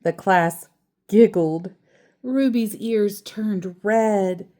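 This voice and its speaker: A woman reading a children's picture book aloud as storyteller, expressive and deliberate, with casual asides. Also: medium pitch.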